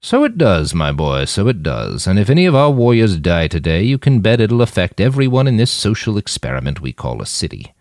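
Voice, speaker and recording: A man voicing a weary, impassioned old man in an audiobook, speaking in a deliberate, oratorical, emphatic way. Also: on the low side, very animated, a little mellow, dry recording, studio quality, voice pushed up. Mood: wry, insistent.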